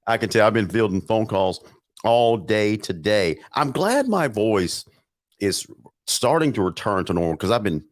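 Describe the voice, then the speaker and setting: A middle-aged man hosts a live sports talk show, talking in an upbeat, chatty way with plenty of jokes. His voice is low and still a touch hoarse from being sick, and his pitch swings up and down freely. The sound is dry and close, with no room echo. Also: animated, normal speed. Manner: upbeat, chatty, jokey, repeats words. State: recovering hoarse voice after bronchitis.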